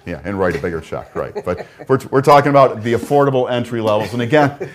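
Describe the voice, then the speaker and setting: A man's voice: mid-range voice, lively intonation, fast talker, a little hiss or hum. A man co-hosting a live stream, chatting casually about coffee machines and pushing a sale with easy laughs. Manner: easygoing, laughs often, pushes the sale. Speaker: co-host of live product stream.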